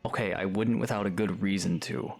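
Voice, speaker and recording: An actor playing a calm, protective young hero in an audio drama, terse and matter-of-fact. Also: male voice, low-pitched, fairly quick, vocal fry, soft background music. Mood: mildly defensive. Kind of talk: fan audio drama acting.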